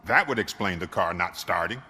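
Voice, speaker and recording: A man's voice: low-pitched, lively intonation, a little fast, pushed and bright, husky, full character voice. A man playing a wisecracking, talkative car mechanic in a video game, casual and wry. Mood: casual, curious.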